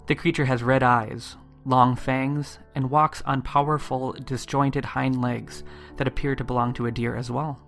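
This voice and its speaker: Male podcast host, creaky mid-range voice, measured scripted narration with suspense, faint music bed. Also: mid-range voice, fairly bright tone.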